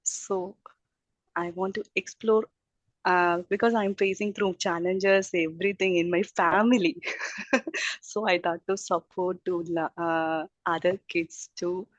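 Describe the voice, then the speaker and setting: An Indian-accented woman earnestly rambling through her introduction at an online staff meeting, slightly low voice, muffled connection. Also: fairly even intonation, measured pace, dry recording. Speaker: childcare teacher introducing herself. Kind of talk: informal self-introduction at a group meeting. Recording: dull, muffled audio.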